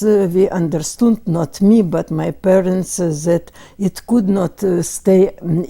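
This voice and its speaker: Interview subject, woman in her 80s, Lithuanian accent, halting fillers, very dark tone. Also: on the low side, vocal fry. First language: Lithuanian and Russian. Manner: slow and hesitant, many pauses and fillers. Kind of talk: oral history interview. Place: museum interview room.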